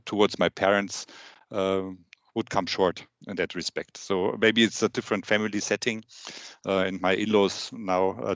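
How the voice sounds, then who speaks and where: A man with a German accent asking a long question on a webinar, pausing often with "uh". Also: low-pitched, no room sound. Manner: hesitant, many "uh" pauses. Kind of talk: casual question.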